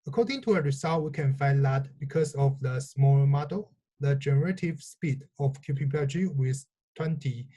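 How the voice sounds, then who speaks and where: A man presenting research in a scripted technical talk, reading phrase by phrase in a halting way. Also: mid-range voice, mellow, rough recording.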